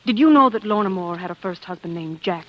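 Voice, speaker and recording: An actress playing an earnest, determined wife in a 1940s radio drama, with a dramatic delivery that is quick to bristle. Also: female voice, on the low side, expressive, natural voice. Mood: hesitant, eager. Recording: dull, lo-fi vintage radio recording.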